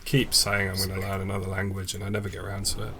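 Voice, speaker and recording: A young English man streaming a game, chatting with viewers in a relaxed, rambling way full of fillers. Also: deep voice, rapid-fire, noisy background. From England. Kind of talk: casual stream chat with viewers. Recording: faint background music.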